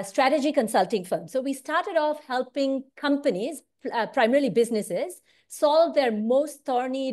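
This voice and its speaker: An earnest, animated woman in her forties, originally from India, speaking as a senior business leader. Her voice sits a little high and she keeps checking in with "right?" as she explains. The sound comes through an earphone mic on a video call from a home room. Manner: earnest, animated, often tags lines with "right?". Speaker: consulting firm managing partner. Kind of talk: direct-to-camera talk on career and work. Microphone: wired earphone mic on a video call.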